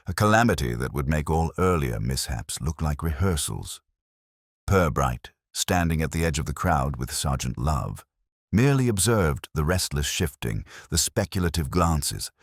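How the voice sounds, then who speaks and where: A man reading scripted dramatic narration of a mystery story in a measured, literary manner. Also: rumbling low, big pitch swings, breathy edge, no room sound, studio quality.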